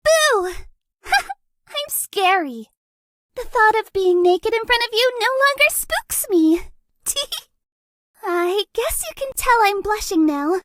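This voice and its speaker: A woman voicing a bubbly, shy, lovesick ghost girl in a dating game, with a girlish, breathy, cutesy voice. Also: lively intonation, takes it slowly, long pauses, a bit bright, dry recording, exaggerated voice. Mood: playful, then bashful. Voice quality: very high, girlish voice. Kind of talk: anime-style dating game character lines.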